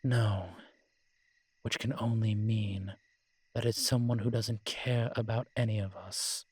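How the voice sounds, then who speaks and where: A man playing a world-weary, morose male vampire in a roleplay audio drama, speaking in a measured, formal, brooding manner with sighs and pauses. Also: fairly low pitch, fairly even intonation, a little mellow, breathy edge, no echo. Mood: grim, resigned. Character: weary old male vampire, morose realist, formerly cruel.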